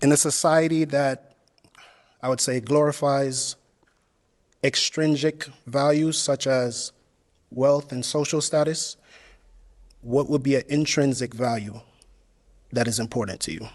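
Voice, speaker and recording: A man on a dating show asking a formal question slowly and deliberately. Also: mid-range voice, steady tone. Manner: slow and deliberate, formal wording.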